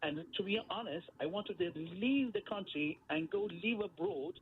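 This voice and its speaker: Guy calling a radio show, lots of uhs and restarts, faint hiss. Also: high-pitched. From Iran. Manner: halting, earnest, many fillers and restarts. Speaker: radio phone-in caller. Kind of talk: phone-in call. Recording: tinny phone line on radio.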